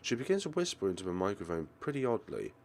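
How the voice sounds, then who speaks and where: A man narrates a visual novel as a let's play host. His voice sits fairly low but moves widely in pitch, giving the read-aloud narration an expressive, animated shape. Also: fast, creaky, slight background noise.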